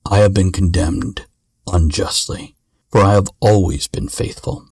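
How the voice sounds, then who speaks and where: A man's voice: low voice, steady tone, measured pace, creaky, a little mellow, husky, dry recording, clean studio sound, voice pushed down, full character voice. A man voicing a noble, resigned royal servant in a fairy tale, solemn and formal.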